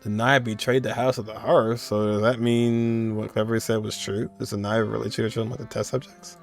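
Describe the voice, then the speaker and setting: Guy streaming a game, kinda deep, chill offhand comments to himself, soft music in the back. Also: flat delivery, brisk, creaky. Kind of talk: casual let's play commentary, reading game choices aloud.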